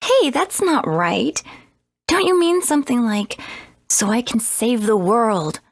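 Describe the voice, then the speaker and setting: Fragile young girl apprentice for a video game: breathy, devoted, hesitant, with swooping expressive pitch. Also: no echo, clean studio sound, exaggerated voice. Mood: gentle, curious. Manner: hesitant, halting.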